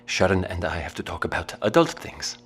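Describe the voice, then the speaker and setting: Middle-aged dad, really deep voice, a bit of hiss behind, dodgy and stammering. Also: male voice, big pitch swings, a little fast, gravelly, a bit bright, heavily acted. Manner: stammering, evasive when pressed. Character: middle-aged male translator and father, evasive. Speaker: father, government translator.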